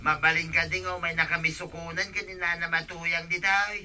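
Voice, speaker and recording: A man's voice: fairly high pitch, flat delivery, a little fast, pushed and bright, faint music behind. A man playing a suffering village husband with an urgent bellyache in an Ilocano radio comedy drama, groaning and urgent, with an Ilocano accent. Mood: desperate.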